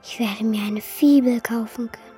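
A woman voicing a naive, eager wooden puppet boy in a children's radio play, with childlike, earnest excitement. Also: a little high, normal speed, faint music behind, lightly acted. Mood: dreamy, hushed.

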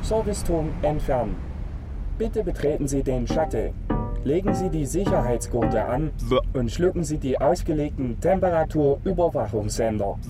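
A man's voice: a little high, expressive, normal speed, music in the background, natural voice. A man playing an impersonal computer system in a radio play, giving clipped, commanding announcements without emotion.

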